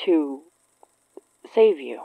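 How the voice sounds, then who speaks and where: A woman playing a guarded, gently concerned young woman in a naturalistic horror audio drama, speaking softly and hesitantly. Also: low-pitched, expressive, extremely slow, gravelly, dry recording, narrow, tinny audio, heavily acted. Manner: hesitant, soft, evasive.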